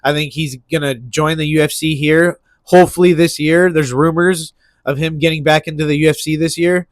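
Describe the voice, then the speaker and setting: A man's voice: on the high side, steady tone, moderate pace, no echo. A man with a stuffy nose hosting casual solo sports talk, rambling with lots of restarts and fillers. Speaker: MMA commentary channel host.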